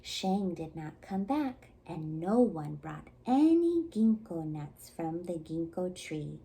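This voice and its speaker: A woman reading a children's story aloud expressively, with measured dramatic pauses. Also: fairly low pitch, very animated, creaky, mellow. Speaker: storybook reader at a community library.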